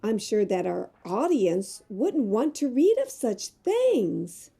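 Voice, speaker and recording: A woman's voice: medium pitch, very animated, slow pace, creaky, dark tone, husky, exaggerated voice. A woman playing an earnest, fearful magazine reporter in an audio drama, speaking in a dramatic, hesitant way. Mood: reluctant. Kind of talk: amateur audio drama acting.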